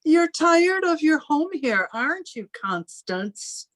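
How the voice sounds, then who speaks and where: A woman's voice: high voice, big pitch swings, no echo, clean studio sound, exaggerated voice. A woman playing a controlling, martyred, sternly doting elder sister in an old-time radio drama, with a patronising manner. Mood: cold, firm. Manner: deliberate, patronising, smothering.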